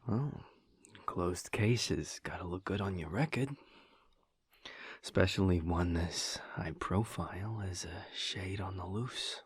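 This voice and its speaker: Low, husky, breathy man, teasing acted by a man. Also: no room sound, heavily acted. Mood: dry, probing. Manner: slow, teasing, hesitant pauses. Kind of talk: intimate audio roleplay.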